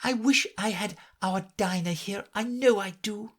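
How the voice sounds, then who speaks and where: A man's voice: sits high, unhurried, voice pushed up. A man voicing an eager, polite little girl in a storybook reading. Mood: earnest. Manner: eager, humble, earnest.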